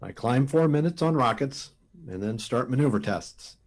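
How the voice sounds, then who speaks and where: A man's voice: medium pitch, lively intonation, moderate pace, darkish tone. A man playing a cocky, wisecracking rocket test pilot in a 1950s radio drama style. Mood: breezy, confident. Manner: breezy, wry.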